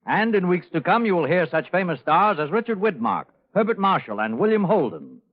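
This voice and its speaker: A man's voice: high voice, very animated, fairly quick. A man announcing a program in dramatic 1950s radio style. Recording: dull old radio broadcast, music behind.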